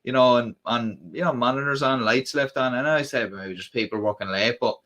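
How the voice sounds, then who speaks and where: An Irish man chats loosely to his livestream viewers, wandering from point to point with plenty of ums and false starts. His voice is bright and forward, sitting at an ordinary middle pitch. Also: brisk, muffled recording. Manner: rambling, many fillers and restarts. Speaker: livestream host. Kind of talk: casual live-stream chat.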